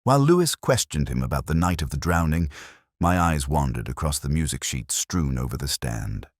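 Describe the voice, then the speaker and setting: A man reading a scripted first-person detective story in a measured, literary, wry manner. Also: very deep voice, expressive, no room sound, clean studio sound. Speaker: narrator.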